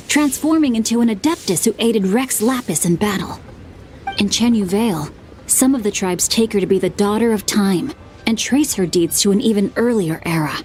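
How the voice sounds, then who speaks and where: A performer voicing a refined, bookish young male scholar who explains things in a measured way in a video game cutscene. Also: mid-range voice, darkish tone, natural voice. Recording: game audio with faint music.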